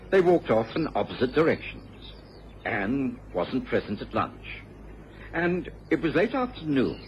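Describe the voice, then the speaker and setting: An actor playing a genteel, earnest gentleman companion of a detective in a radio drama, telling the case expressively. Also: mid-range voice, lightly acted. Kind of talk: radio drama narration and acting. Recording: dull, lo-fi old radio recording with faint hiss.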